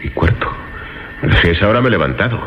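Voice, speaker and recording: A man's voice: lowish voice, very animated, quick pace, a little hiss or hum. An actor playing a bewildered, defensive man in a suspense radio drama, earnest and questioning, with a Castilian Spanish accent. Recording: dull radio sound, reverberant, music behind.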